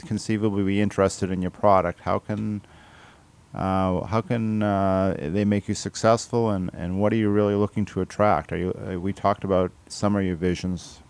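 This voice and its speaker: A man's voice: lowish voice, smooth. A man hosting a radio interview, asking questions in a conversational way. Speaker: radio show host, interviewer.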